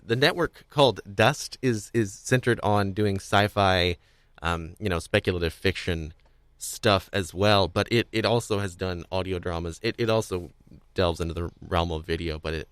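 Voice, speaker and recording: Male podcast host with a low voice and dry, close sound; conversational, loosely wandering phrasing. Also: a bit bright, dry recording. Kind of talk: casual podcast discussion.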